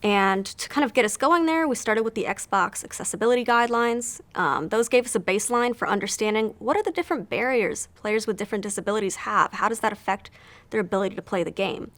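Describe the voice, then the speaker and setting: A woman producer answers interview questions in a clear, organized way. She explains her work step by step. Her pitch sits in a middle range. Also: expressive, quick pace, fairly bright tone. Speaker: game producer.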